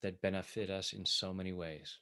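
Deep-voiced man guiding spoken affirmations. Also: fairly even intonation, pushed and bright, clear, resonant tone. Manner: measured, deliberate, phrase by phrase. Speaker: tapping coach leading affirmations. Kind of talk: guided affirmations in short phrases for repeating.

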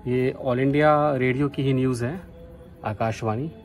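A man speaks in an ordinary mid-pitched voice, giving casual vlog commentary with an earnest, opinionated manner. He comes from Bihar, India, and music from a radio plays behind him. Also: fairly even intonation, moderate pace, a little mellow. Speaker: radio hobbyist vlogger.

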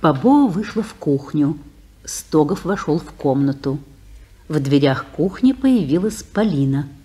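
A woman narrating stage directions in a radio play in a plain, even reading. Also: deep voice, a little slow.

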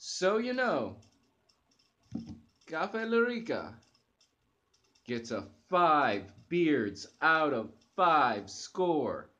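A man's voice: a little high, sing-song, drawn-out words, long pauses, bright, forceful tone, lo-fi sound. A young man hosting a casual coffee review to camera from his living room sofa, talking enthusiastically with hesitant restarts and fillers, recorded on a close webcam. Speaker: channel host reviewing coffee. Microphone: webcam at close range.

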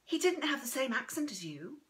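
A woman's voice: sits high, very animated, normal speed, voice pushed up. A woman voicing a curious, affectionate granddaughter in an audiobook, with a tactful, earnest and inquisitive manner. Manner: gentle, inquisitive.

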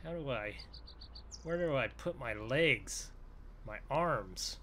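Slow-talking man over loud engine noise. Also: mid-range voice, lively intonation. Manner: rambling, folksy, thinking aloud. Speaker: gaming video host. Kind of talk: improvised roleplay narration as a farmer. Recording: noisy, vehicle engine sounds behind voice. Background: game engine and vehicle sounds.

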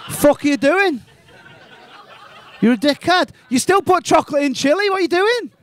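A man doing an animated, crude stand-up set on a small comedy club stage into a handheld mic. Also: extremely high pitch, moderate pace, some chatter behind, slight background noise. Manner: animated, crude, ranting and incredulous.

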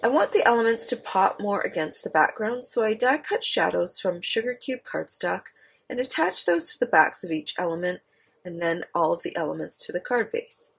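A woman's voice: mid-range voice, lively intonation, normal speed, gravelly, a bit bright, breathy edge. A woman presenting an instructional craft voice-over, explaining things step by step. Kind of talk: instructional voice-over narration of a card-making demo.

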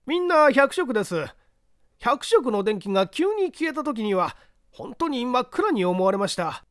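A man's voice: very high-pitched, big pitch swings, normal speed, clean tone, dry recording, studio quality, voice pushed up. A man voicing a polite, earnest works foreman explaining himself under questioning in a mystery story. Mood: earnest, deferential. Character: deferential male works foreman answering an interrogation.

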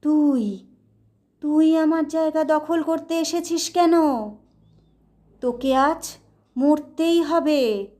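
A woman voicing a menacing, vengeful male ghost who speaks in slow, drawn-out threats. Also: high-pitched, warm tone.

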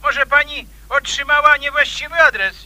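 A man's voice: very high voice, steady tone, moderate pace, sharp tone, no echo, full character voice. An actor playing a polite, businesslike male telephone operator in a radio drama, clipped and formal, over a phone line. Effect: telephone filter.

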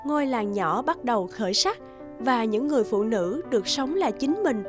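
A woman giving scripted storybook narration for an animated tale. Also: on the high side, moderate pace, over music.

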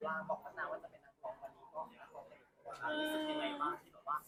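A woman's voice: low-pitched, very animated, very fast, recorded from a distance. A woman reporter casually asking questions at a press event, slightly away from the mic in a crowd. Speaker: entertainment reporter.

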